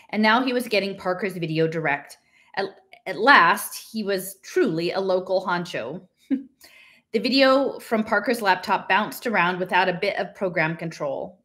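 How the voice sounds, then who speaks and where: A woman's voice: lowish voice, a bit bright. A middle-aged woman with an American accent, talking casually and reading aloud as host of a home stream, in an emphatic, conversational way. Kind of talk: casual talk and unrehearsed reading aloud from a book. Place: small living room at home, indoors.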